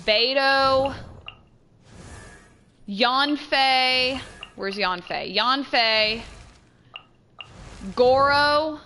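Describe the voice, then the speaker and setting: A woman's voice: on the high side, bright, forceful tone, a little hiss or hum. A woman game streamer giving casual let's play commentary as she plays.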